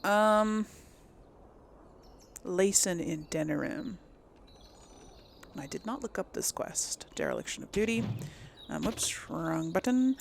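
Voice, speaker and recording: A woman hosts a video game let's play in an everyday mid-pitched voice with a creaky edge. She talks slowly and casually, thinking out loud to her audience. Faint noise and game ambience sit behind her. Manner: chatty, thinking aloud to the audience. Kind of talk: casual gameplay commentary.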